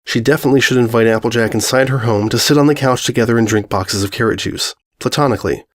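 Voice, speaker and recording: Male storyteller, mid-pitched voice, for scripted fiction narration. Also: quick pace.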